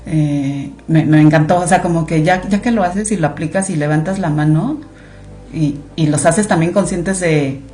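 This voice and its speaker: A woman's voice: deep voice, normal speed, warm tone, faint music behind. A Mexican woman speaking as a podcast guest, chatting casually and animatedly with frequent fillers. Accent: Mexican Spanish. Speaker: podcast guest, clinical monitoring director. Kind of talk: casual conversational interview.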